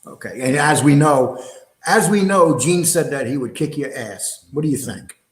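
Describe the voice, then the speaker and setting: A man's voice: on the high side, lively intonation, moderate pace, reverberant. A man hosting a livestream talk show, blunt and rambling, throwing out rhetorical questions and reading viewer comments. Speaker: show host.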